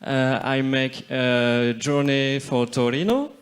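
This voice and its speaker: A man talks through an app demo from a conference stage, in a voice of ordinary pitch. He describes each step in short, hesitant phrases with frequent fillers. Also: slow pace. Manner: hesitant, plain short steps narrating a demo. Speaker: conference speaker. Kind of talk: live app demo commentary.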